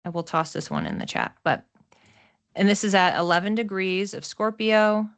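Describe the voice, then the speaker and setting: A woman presenting an astrology forecast in an explanatory way, measured and broken into short phrases with pauses. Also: mid-range voice, animated, creaky.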